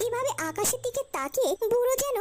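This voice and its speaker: A woman's voice: very high-pitched, very animated, fast talker, over loud music. A woman narrator telling a cartoon story in a dramatic, scripted storytelling style.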